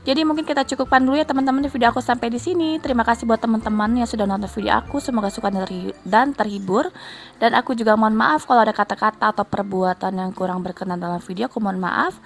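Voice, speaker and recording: A woman's voice: a little high, quick pace, vocal fry. Nasal-voiced woman with a cold. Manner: chatty, keeps addressing viewers, tags lines with soft fillers. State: stuffy nose from a cold. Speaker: homemaker vlogger. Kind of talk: casual vlog voice-over. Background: faint background music.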